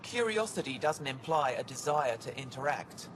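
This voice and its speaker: An actor voicing a brusque older alien biologist in a video game, with a curt, formal, precise way of talking. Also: male voice, medium pitch, lively intonation, normal speed, a bit bright, lightly acted. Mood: curt, aloof. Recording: clearly noisy game ambience.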